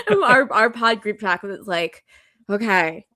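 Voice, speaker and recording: A woman's voice: medium pitch, sing-song, takes it slowly, bright, forceful tone. A woman chatting casually as a podcast guest, talkative and informal. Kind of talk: casual chat.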